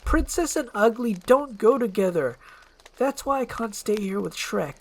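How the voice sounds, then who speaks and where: A woman's voice: on the high side, expressive, measured pace, voice pushed up, low-quality recording. A woman voicing an anguished young princess under a curse, earnest and pleading in a dramatic storytelling roleplay. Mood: despairing.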